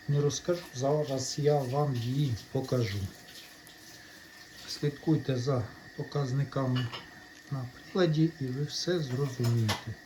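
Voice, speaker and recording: A man's voice: mid-range voice, warm tone, a little hiss or hum, poor audio. A man hosting a casual hands-on electronics vlog, talking in short step-by-step remarks and addressing viewers as friends. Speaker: host of a hobby electronics channel.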